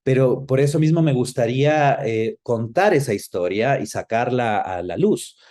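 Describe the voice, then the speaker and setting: A middle-aged man with an Ecuadorian accent, reminiscing conversationally as an interview guest and pausing to search for words. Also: mid-range voice, lively intonation, a little mellow. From Quito, Ecuador.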